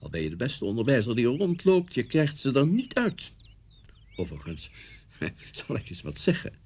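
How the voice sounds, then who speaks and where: An older schoolteacher, chatty and complacent, in a radio play. His voice is husky and swings widely in pitch as he muses in a knowing, conversational way. The recording is dull and muffled. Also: huge pitch swings, normal speed, darkish tone. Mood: musing, amused. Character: chatty, complacent retired male schoolteacher, reminiscing. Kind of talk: naturalistic radio drama acting.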